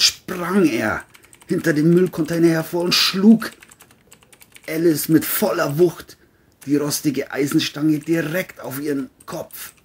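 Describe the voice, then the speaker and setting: A man's voice: medium pitch, husky. A man reading a scripted crime thriller as narrator.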